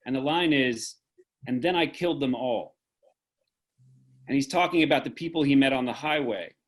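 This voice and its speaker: A man moderating a group discussion over a video call. He speaks in a measured, earnest way, weighing his words as he draws out meaning. His voice sits at an ordinary middle pitch.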